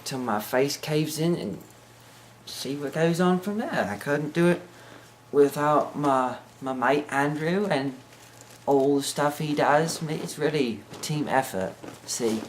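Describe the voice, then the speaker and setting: A man's voice: a little high, slow pace, slight background noise. A teenage boy talking in a put-on British accent, rambling hesitantly through a joking mock interview with many ums and restarts.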